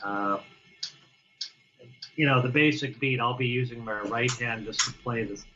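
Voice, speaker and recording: A man talking casually as an interview guest, halting and restarting often as he explains how he plays drums. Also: medium pitch, muffled recording, background noise. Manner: halting, many restarts and fillers. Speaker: guest drummer.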